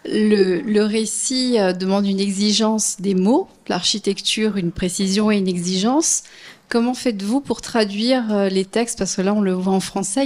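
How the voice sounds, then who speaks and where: A woman moderating a lecture, asking a question in a measured, slightly hesitant way. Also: medium pitch, darkish tone.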